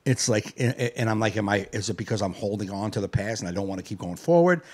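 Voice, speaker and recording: A man's voice: lowish voice, quick pace, a little mellow, slightly rough. A man in his late forties, a podcast host, talking in a rambling, profane, reflective way. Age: 40s. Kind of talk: casual chat.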